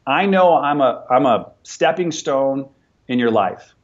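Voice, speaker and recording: A man in his forties, a business owner talking as an interview guest in an energetic, motivational way, often repeating words as he starts sentences. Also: mid-range voice, measured pace, lo-fi sound. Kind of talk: conversational interview.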